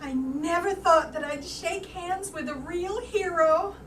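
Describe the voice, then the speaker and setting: A woman's voice: extremely high pitch, takes it slowly, fairly bright tone, heavily acted. A woman playing an earnest, flustered housemaid with a hesitant delivery in a staged play reading. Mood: flustered, admiring. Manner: hesitant, repeating words, earnest. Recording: distant mic, echoey room, background noise.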